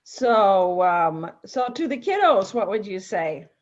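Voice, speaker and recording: A woman's voice: medium pitch, sing-song, takes it slowly. A woman hosting a children's storytime, chatting casually with a guest and addressing young viewers and parents, on a dull, muffled recording.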